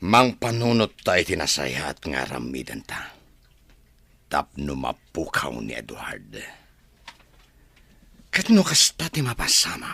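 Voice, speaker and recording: A man with an Ilocano Filipino accent playing a scheming, lovelorn, hot-tempered boss in a radio drama, rough-voiced. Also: very deep voice, very animated, unhurried, long pauses, bright, forceful tone, no room sound, heavily acted.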